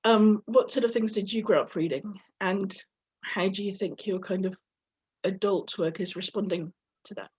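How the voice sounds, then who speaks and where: A woman hosting an online talk, asking hesitant, halting questions with many fillers. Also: medium pitch, darkish tone, breathy edge, muffled recording. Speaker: interviewer, event host.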